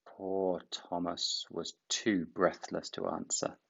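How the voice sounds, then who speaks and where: A man reading a children's book aloud in expressive storybook narration. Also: low voice, takes it slowly, vocal fry, slightly rough, highs cut off.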